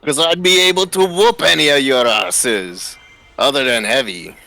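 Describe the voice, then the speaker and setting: A man's voice: mid-range voice, moderate pace, sharp tone. A man voicing a boastful, hard-drinking Scottish mercenary who teases his companions, in a fan story reading. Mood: boastful, indignant. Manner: teasing, boastful. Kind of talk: amateur voice acting in a live fan story reading. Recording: music behind the voice.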